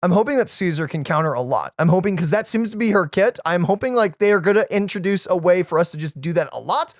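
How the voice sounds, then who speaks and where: A man's voice: high-pitched, lively intonation, quick pace, dry recording, clean studio sound. A man chatting casually and enthusiastically as the host of a gaming reaction channel, in a rambling way. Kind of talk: casual chat about a video game.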